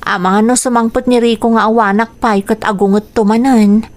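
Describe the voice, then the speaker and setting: A woman's voice: fairly high pitch, fairly even intonation, normal speed, a little mellow, lightly acted. A woman acting as a dutiful young married daughter in a radio drama. Her Ilocano-accented delivery is polite and conversational. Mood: polite, considerate.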